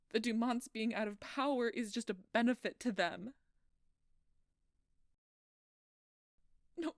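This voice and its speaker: A woman playing a frightened, guilt-ridden changeling fugitive in an intimate fantasy audio roleplay, with a halting, emotional delivery. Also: fairly high pitch, no echo, studio quality. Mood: tearful, panicked.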